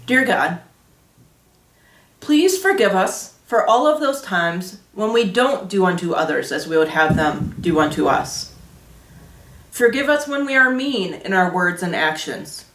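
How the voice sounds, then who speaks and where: A woman's voice: medium pitch, animated, a little slow, a bit bright. A woman hosting a Sunday school lesson, explaining things in simple terms for children. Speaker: Sunday school storytime host and teacher. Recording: roomy echo, distant microphone.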